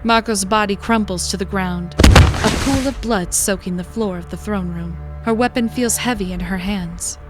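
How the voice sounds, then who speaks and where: A woman's voice: mid-range voice, moderate pace, music in the background. A woman reading scripted, dramatic narration for a fantasy story as its narrator.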